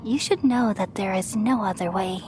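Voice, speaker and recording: A woman playing a wise, serene ancient goddess in a video game, speaking in a gentle, measured, solemn way. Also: mid-range voice, noisy background, close to an everyday voice. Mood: tender, serene. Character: serene, wise ancient goddess accepting her own erasure. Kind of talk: dramatic video game voice acting. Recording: music behind the voice.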